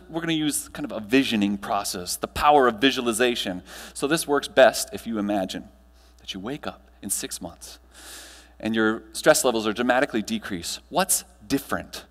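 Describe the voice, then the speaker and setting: Male American keynote speaker, thirties, slightly raised pitch, projecting with emphasis and pauses in an echoing ballroom. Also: a bit bright. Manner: projected and emphatic, with deliberate pauses and rhetorical questions. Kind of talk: motivational conference talk. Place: large hotel ballroom stage. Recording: long hall reverb from ballroom PA.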